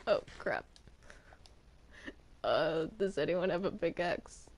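Sassy, reluctant demon villain played by a woman, lively intonation, slow pace, improvised game roleplay. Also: fairly low pitch, heavily acted. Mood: playful. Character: stubborn, indignant cartoon demon Bendy, reluctant villain.

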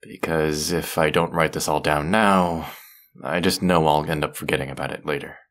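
A young man acting a tired, overworked, affectionate college boyfriend in an intimate roleplay audio, speaking softly and drowsily with hesitations and sighs. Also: very deep voice, fairly even intonation, normal speed. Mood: sleepy, affectionate. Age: young adult.

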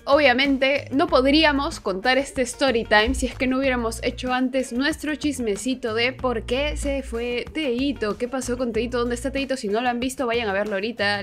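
A woman's voice: fairly high pitch, fairly bright tone. A young woman co-hosting a channel, telling a story in a casual, conversational way. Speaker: co-host of a channel.

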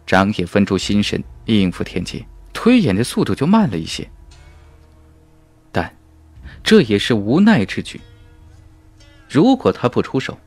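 A man's voice: fairly low pitch, wildly swooping pitch, unhurried, pauses often, a little mellow, no room sound, faint music behind. A man reading a fantasy novel as an audiobook narrator in an emphatic, suspenseful, dramatic style.

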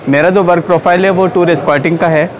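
A man's voice: high voice, fast, poor audio. A middle-aged man from Gwalior, a tourism escort, steadily listing destinations and tour packages. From Gwalior, India. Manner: steady, informative, lists places and packages. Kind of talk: casual interview answer. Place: indoor exhibition hall stall. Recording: busy expo crowd behind.

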